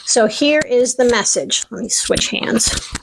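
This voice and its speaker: A woman reading a channeled message in a soft voice that drifts into whispering. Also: a little high, slow pace, vocal fry, background noise. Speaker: self-styled channeler.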